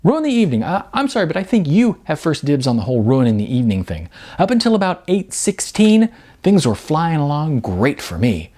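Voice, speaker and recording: A man's voice: fairly high pitch, huge pitch swings, a little fast, darkish tone, voice pushed up. A man voicing a confused, hurt young woman in a novel, sarcastic and stammering as she repeats words back in disbelief. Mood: incredulous, upset. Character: confused, hurt young actress being dumped, incredulous and sarcastic.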